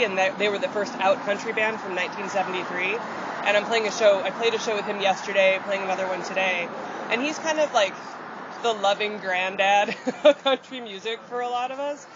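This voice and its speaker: Woman country singer from Michigan, somewhat deep voice, relaxed chatty interviewee, muffled street recording. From rural Michigan, USA. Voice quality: deeper voice. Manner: chatty and open, with frequent fillers and light laughs. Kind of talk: casual interview answers. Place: outdoors on a city street. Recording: dull outdoor audio with loud traffic.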